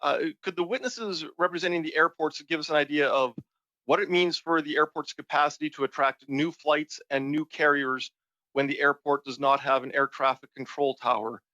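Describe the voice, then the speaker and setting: A man speaking as a politician questioning witnesses in a formal, deliberate way at a committee hearing. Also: on the high side, pushed and bright, no echo. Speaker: member of parliament. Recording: slightly dull, lo-fi meeting audio.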